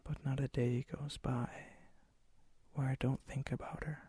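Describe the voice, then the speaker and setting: Man acting a breathy, slow, lonely male vampire. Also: mid-range voice, flat delivery, no echo. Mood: wistful, sorrowful. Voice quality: soft, breathy, often near a whisper. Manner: hesitant, wistful, halting. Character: lonely, gentle, melancholy male vampire, immortal and lovelorn. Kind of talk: ASMR roleplay, intimate acted monologue.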